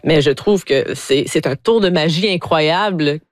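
A woman's voice: sits low, a little slow, no echo. Enthusiastic woman scientist, dry studio mic. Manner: enthusiastic, explanatory, with some hesitations. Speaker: astrophysicist guest. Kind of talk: explaining science in a radio interview.

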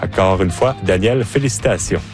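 A man's voice: low voice, moderate pace, over music. A man hosting a live morning radio show in a studio, chatting easily with guests and colleagues. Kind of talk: live radio interview and wrap-up.

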